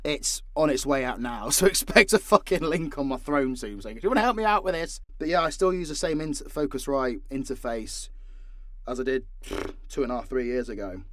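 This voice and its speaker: A man's voice: medium pitch, animated, normal speed, no echo, studio quality. A British man chatting casually as a voice actor and audio creator, sweary and self-deprecating as he tells stories. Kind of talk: casual candid Q&A chat.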